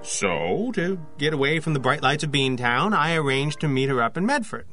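An actor playing an awkward, earnest photographer in an audio drama, speaking in halting, hesitant phrases. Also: male voice, a little high, very animated, sharp tone, faint music behind. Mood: curious. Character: awkward, earnest freelance photographer confiding a strange story.

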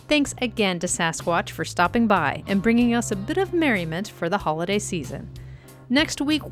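A woman hosting an audio drama podcast, reading a scripted sign-off. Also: a little high. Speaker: podcast host. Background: music.

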